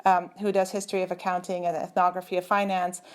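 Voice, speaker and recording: A woman's voice: on the low side, little pitch movement, quick pace. Thoughtful woman guest on a video call. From Turkey. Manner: thoughtful, many fillers and restarts. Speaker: guest, computer scientist. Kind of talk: conversational interview.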